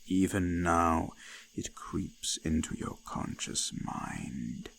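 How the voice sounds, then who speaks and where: An ancient male god of the forest, looms in a low, slow voice over faint background ambience. He is menacing and seductive, commanding in archaic phrases and often sinking into gravelly whispers, as in a folk horror audio drama. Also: a little hiss or hum, heavily acted. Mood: seductive, coaxing. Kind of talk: scripted roleplay audio, slow dramatic monologue.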